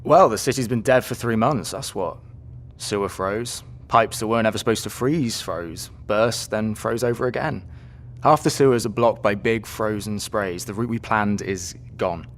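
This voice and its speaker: An actor playing a breezy, courteous and wry Royal Marines lieutenant in an audio drama. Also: male voice, lowish voice, moderate pace, close to an everyday voice. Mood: wry, explanatory.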